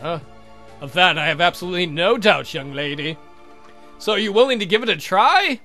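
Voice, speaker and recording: A man's voice: high voice, animated, fairly quick, sharp tone, faint music behind, exaggerated voice. A man voicing a courtly adult vampire count in a visual novel, earnest and doting, with formal, gallant speech. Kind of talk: voiced visual novel dialogue.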